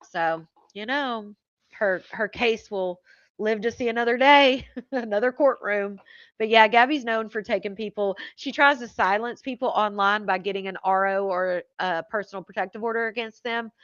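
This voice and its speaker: A woman's voice: mid-range voice, normal speed, a bit bright, no echo, highs cut off. Chatty woman livestream host, dry sound. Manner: chatty, reads and answers viewer comments. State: voice tired after hours of streaming. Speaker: live stream host. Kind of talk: casual chat with live viewers.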